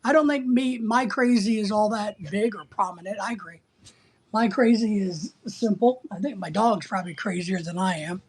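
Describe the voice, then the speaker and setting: A livestream host from the United States with a husky voice, chatting casually and bluntly while teasing viewers and rambling through answers to their comments. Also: medium pitch, normal speed, a little mellow. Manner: blunt, teasing, sometimes breaking into playful exclamations.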